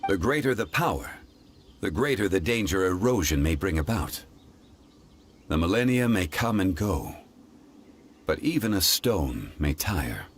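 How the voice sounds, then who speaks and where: A man voicing an ancient, composed god in human form in a game, with a deep, calm voice and a measured, dignified way of speaking. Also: slight background noise, exaggerated voice. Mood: solemn. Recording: game audio with soft music.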